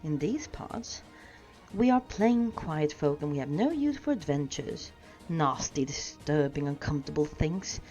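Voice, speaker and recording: A woman voicing a fussy, polite and excitable male hobbit homebody in a storybook reading. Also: low voice, measured pace. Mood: disapproving. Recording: dull sound with music behind.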